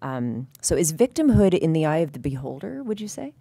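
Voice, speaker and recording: A woman's voice: low voice, sing-song, a little fast, vocal fry, very noisy. A woman hosting a live Q&A on an expo stage, eager and enthusiastic as she asks her guest questions. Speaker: interviewer, host. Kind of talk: live panel Q&A.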